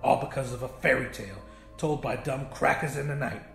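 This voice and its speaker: A man's voice: medium pitch, steady tone, a little fast, gravelly, a bit bright, echoey room, soft background music. A man voicing a big, angry, foul-mouthed cook with a scornful, slangy drawl in an audiobook story. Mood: resigned.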